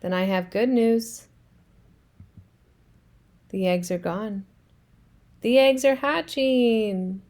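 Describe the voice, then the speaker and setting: Lady doing a chill piggy girl, plain patient storybook answers, slow. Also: on the high side, darkish tone. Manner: plain, matter-of-fact, patient. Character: calm helpful female pig friend. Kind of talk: children's storybook read-aloud.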